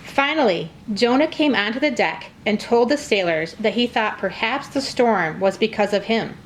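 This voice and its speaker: A high-pitched woman narrates a children's story in simple, clearly phrased read-aloud style. The recording carries noticeable background noise and room ambience. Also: animated, normal speed, poor audio. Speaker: narrator of a children's church puppet show.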